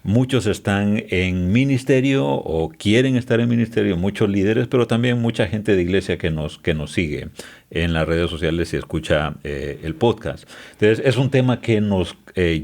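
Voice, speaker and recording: A man's voice: fairly low pitch, a little mellow. A man hosting a podcast interview, speaking politely and hesitantly, with frequent filler sounds. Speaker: podcast host.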